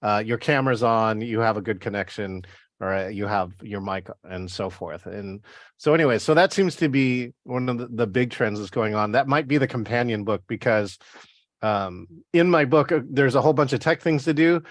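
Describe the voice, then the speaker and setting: A man hosting and chatting casually about writing books, explaining in long relaxed runs and checking in with "right?". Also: mid-range voice, normal speed, no room sound, studio quality. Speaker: host and author. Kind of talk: casual interview chat. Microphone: video call.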